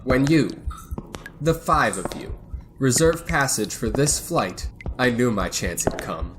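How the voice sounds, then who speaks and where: An actor playing a bitter, vengeful financier in a radio thriller, cold and deliberate with surges of excitement. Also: male voice, medium pitch, noisy background. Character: bitter vengeful self-made financier holding his captives. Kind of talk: old-time radio thriller acting.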